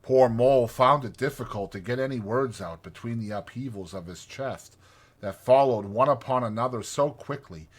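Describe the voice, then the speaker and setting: A man narrating a classic storybook in an expressive, dramatized audiobook style. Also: medium pitch, normal speed, husky. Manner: expressive, literary reading.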